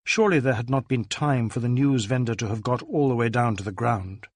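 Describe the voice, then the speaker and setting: A man's voice: medium pitch, a little fast, dry recording, studio quality. A man reading a detective novel aloud as a dramatic audiobook narrator.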